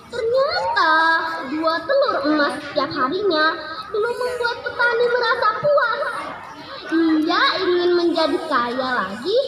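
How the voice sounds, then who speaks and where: A woman with a very high, lively voice narrates a storybook tale aloud. Her pitch moves up and down a good deal as she reads. She is recorded in an echoey room with noise around her. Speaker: storyteller reading a fable aloud. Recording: echoey, noisy room with background crowd.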